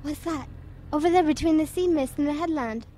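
A cheeky wartime lad, voiced by a woman. He is excitable and boyish, in radio drama style. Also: high voice, fairly quick, a little hiss or hum, lightly acted. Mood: alarmed.

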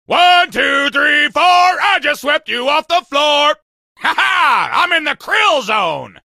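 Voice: high voice, big pitch swings, slow pace, creaky, bright, forceful tone, no room sound, shouting, clean studio sound, exaggerated voice. An actor voicing a small, hot-headed shrimp soldier for a video game, barking cocky drill-sergeant bluster with theatrical bravado. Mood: cocky, boastful. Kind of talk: video game character voice lines.

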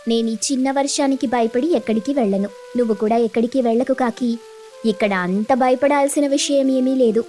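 A high-voiced mother sparrow from a cartoon fable, acted by a woman. She is stubborn and sure of herself, speaking firmly and expressively over background music. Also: brisk, warm tone, close to an everyday voice. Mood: stubborn, dismissive. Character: stubborn, confident mother sparrow protecting her chicks.

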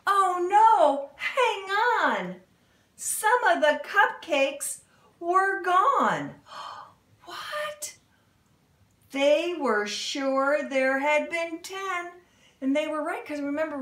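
A middle-aged woman reads a picture book to young children in a roomy, echoey home space. Her delivery is very slow and dramatic, with pitch that swoops up and down. She pauses often and adds expressive exclamations. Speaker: storybook reader for children. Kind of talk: animated children's read-aloud with asides. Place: indoor room in a house. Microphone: camera a few feet away.

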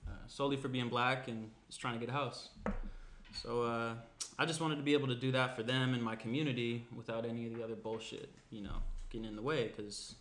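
A man's voice: mid-range voice, little pitch movement, a little fast, a bit bright, lightly acted. Man acting laid-back young guy, echoey room. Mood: earnest. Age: young adult. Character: laid-back, teasing young man, frank morning-after host. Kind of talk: naturalistic screenplay table read. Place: small indoor room at a table. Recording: roomy, faint background noise.

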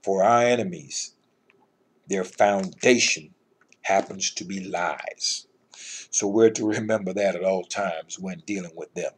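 Deep, husky middle-aged man teaching scripture. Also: unhurried. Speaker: Bible teacher. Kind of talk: informal scripture lesson and commentary.

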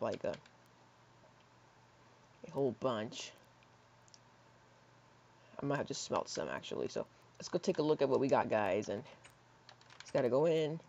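A hoarse young man in his teens rambling casually while playing a building game, with lots of fillers and soft mutters. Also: medium pitch. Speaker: gaming YouTuber. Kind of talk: casual gameplay commentary. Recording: slightly dull lo-fi mic.

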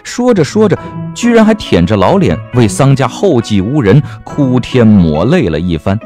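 A man's voice: medium pitch, big pitch swings, normal speed, mellow. A man narrating a comic period novel as an audiobook, with a wry, theatrical delivery. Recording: music bed under the voice.

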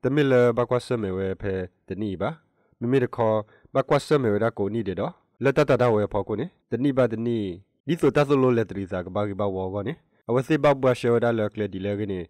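A man's voice: fairly low pitch, a little fast, a little mellow, no room sound, studio quality. A man doing a scripted radio news reading as a presenter. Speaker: news reader.

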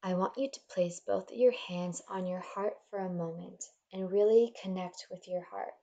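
A woman leading a guided meditation in a gentle, soothing, measured manner, leaving pauses between instructions. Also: lowish voice, fairly even intonation, gravelly, lo-fi sound.

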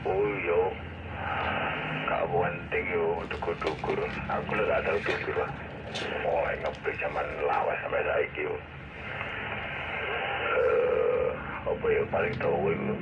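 Very deep Javanese man over radio static. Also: steady tone, a little slow, long pauses. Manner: easygoing, gives signal reports. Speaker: radio caller. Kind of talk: casual two-way radio chat. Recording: tinny radio channel with loud static.

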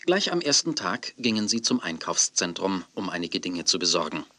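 A man's voice: sits low, big pitch swings, moderate pace, bright, forceful tone. A man narrating a scripted children's story as the narrator of an audio drama.

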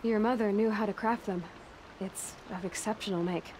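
An actress playing a compassionate, self-assured young huntress in a video game, speaking plainly and gently. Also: female voice, mid-range voice, steady tone, moderate pace, a little hiss or hum, natural voice. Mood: calm, sympathetic. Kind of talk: video game dialogue acting.

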